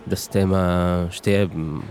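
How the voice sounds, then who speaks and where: A man with a low voice gives a personal account. He speaks slowly and haltingly, thinking as he goes. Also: fairly even intonation, a little mellow. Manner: halting, reflective. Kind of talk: personal testimony, informal.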